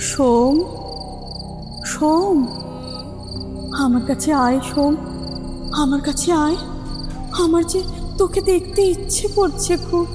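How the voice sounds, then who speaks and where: A high-voiced goddess calls out with slow, drawn-out longing. The voice hangs in long reverb over prominent music, beckoning as in a dream from a supernatural radio play. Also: female voice. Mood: yearning, beckoning. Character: goddess calling softly to a young man in a dream. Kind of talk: Bengali supernatural audio drama acting. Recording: long reverberant hall echo. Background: loud music.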